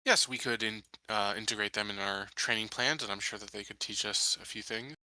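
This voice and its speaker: A man playing a calm, agreeable colony security chief in improvised tabletop roleplay. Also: lowish voice, brisk, dry recording, low-quality recording.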